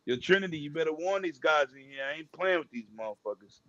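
A man co-hosting a casual gaming chat, loud and profane, cracking jokes and laughing often. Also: on the high side, lively intonation, fast, muffled recording. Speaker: podcast co-host.

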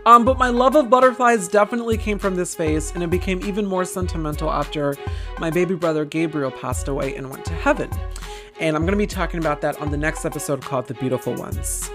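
A woman's voice: on the low side, fast, over music. A woman hosting a solo podcast in a casual, rambling way, with a gushing, theatrical and emphatic manner. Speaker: podcast host.

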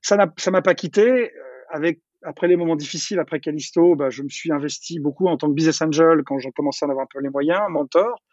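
A middle-aged man speaks in a husky, slightly high voice, racing through his story. He is a chatty interview guest who keeps restarting and repeating words. The recording is dry and a little dull. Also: fast talker, darkish tone, no room sound. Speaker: entrepreneur interviewee. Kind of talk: casual conversational interview.

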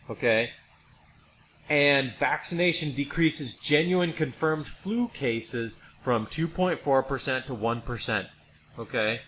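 A man's voice: a little high, a bit bright. An American man co-hosting a casual podcast, talking informally and emphatically about his opinions. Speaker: podcast co-host. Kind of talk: casual conversational podcast chat. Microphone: podcast microphone. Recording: noisy background in podcast recording.

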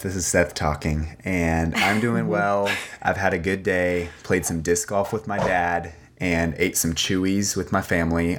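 A young man from Singapore, a band's lead singer, chatting casually on a podcast and laughing easily. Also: deep voice. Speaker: lead singer of a band, guest.

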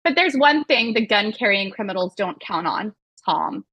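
A woman's voice: medium pitch, big pitch swings, normal speed, pushed and bright, breathy edge, no room sound. A woman hosting a podcast, reading prepared material with wry, playful casual asides. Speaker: podcast host and moderator.

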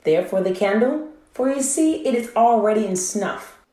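Woman playing a high-voiced, mocking courtly wit, roomy sound. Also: expressive, normal speed, warm tone, lightly acted. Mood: wry mockery. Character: witty courtly spectator heckling a play. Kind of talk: Shakespearean radio play acting.

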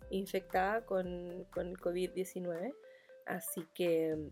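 A woman's voice: medium pitch, a little mellow. Casual Chilean woman podcasting over music. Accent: Chilean Spanish (Santiago). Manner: informal, rambling, colloquial. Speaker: podcast host. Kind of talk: casual chatty solo monologue.

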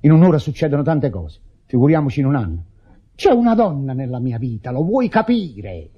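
An actor playing a bitter, exasperated widower in an Italian radio play, husky and declamatory. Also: male voice, mid-range voice, huge pitch swings, a little fast. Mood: bitter, pleading. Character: exasperated widower raging at intrusive mourners. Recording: dull radio drama recording, background voices.

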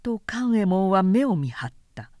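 A woman narrating a literary audiobook in a measured, deliberate storytelling manner. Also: mid-range voice, sing-song, dark tone, no echo, studio quality.

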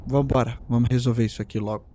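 A man at a tabletop game talks casually and rambles as he describes his character. His voice is mid-pitched and mellow. A faint hiss sits under the recording. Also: fairly even intonation, fast. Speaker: player.

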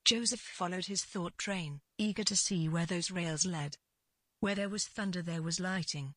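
Husky low-voiced woman narrating fiction. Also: normal speed, a bit bright, no echo. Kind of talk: scripted literary fiction narration.

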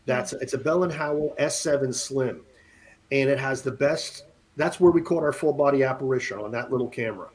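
A man's voice: mid-range voice, a little fast. A man in his late fifties, a podcast guest, chatting casually and rambling with many restarts and jokes. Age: 50s. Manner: rambling, restarts often, jokey. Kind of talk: casual chat.

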